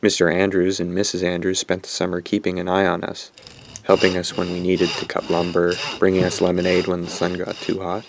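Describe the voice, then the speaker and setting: A man's voice: deep voice, monotone, a little fast, creaky, slight background noise. A man playing a bitter, guilt-ridden young man who narrates a horror radio drama in a brooding, self-loathing way. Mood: wistful, brooding.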